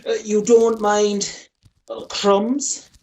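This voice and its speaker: A woman's voice: mid-range voice, level tone, extremely slow, mellow, distant mic. A woman playing a weary, evasive man in a radio play, with an Irish accent and a hesitant, stammering delivery. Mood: nervous, pleading. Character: weary, evasive, grubby man fending off his lover.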